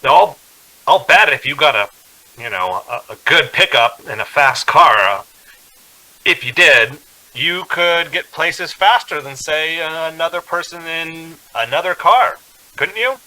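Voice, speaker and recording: A man's voice: fairly high pitch, lively intonation, unhurried, bright, forceful tone, full character voice, low-quality recording. An actor playing a haunted, anxious young man driving cross-country, speaking in a tense, hesitant radio drama style. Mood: nervous, halting. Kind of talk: old-time radio drama acting with narration.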